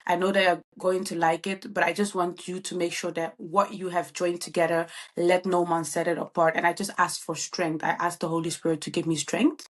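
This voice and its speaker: A woman's voice: lowish voice, little pitch movement, a little fast, few pauses. A bubbly woman. She answers interview questions casually, with frequent ums and uhs. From Netherlands. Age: 20s. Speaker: guest, event planner.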